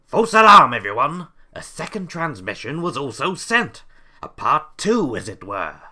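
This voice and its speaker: Excitable, piercingly bright mad scientist. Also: male voice, on the high side, unhurried, full character voice. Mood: excited. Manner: melodramatic, theatrical. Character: flippant, callous, excitable male mad scientist boss. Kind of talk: comedic audio drama acting.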